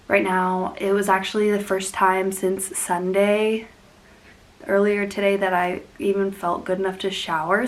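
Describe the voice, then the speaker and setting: A young woman hosting a casual plant vlog, sniffly and congested from being sick, rambling and laughing often as she talks. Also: medium pitch. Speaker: plant channel host.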